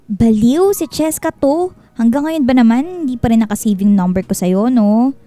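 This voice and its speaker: A woman's voice: fairly high pitch, animated, normal speed, mellow, no room sound, close to an everyday voice. A woman playing a bossy, blunt, gossipy young friend with a Filipino accent in a radio drama. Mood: breezy, bossy.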